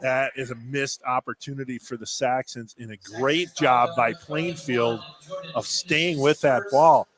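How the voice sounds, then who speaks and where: A man's voice: mid-range voice, steady tone, unhurried, crowd noise. A man giving live basketball analysis as a color commentator. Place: indoor basketball gym, courtside. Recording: clearly noisy live gym broadcast. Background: clear gym noise.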